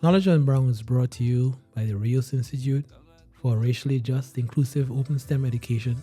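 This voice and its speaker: Upbeat man hosting a podcast, warm tone. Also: medium pitch. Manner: earnest, upbeat, laughs easily. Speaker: podcast host.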